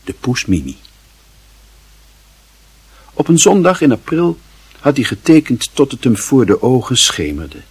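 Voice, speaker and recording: A man narrating a literary radio play in a measured, formal and wry manner, with a husky voice. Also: mid-range voice, very animated, no echo.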